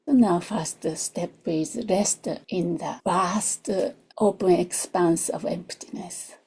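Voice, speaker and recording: A woman who is not a native English speaker leads a guided meditation in a soft, gentle voice, in short unhurried phrases that often drop toward a whisper. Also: fairly low pitch, breathy edge. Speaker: meditation teacher. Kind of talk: guided meditation, slow and soothing.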